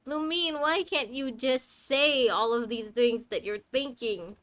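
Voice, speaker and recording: A woman's voice: high voice, a little slow, a bit bright. A woman streamer casually chatting and joking as she plays a game, talking back to the characters. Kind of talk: casual live game commentary.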